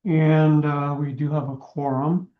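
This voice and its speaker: A man runs a town commission meeting, his voice slightly higher than most and going hoarse. He speaks haltingly and fills his pauses with "uh" and "um". The room is echoey, and the recording sounds dull and lo-fi. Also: level tone. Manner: halting, many fillers. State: losing his voice. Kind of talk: meeting proceedings.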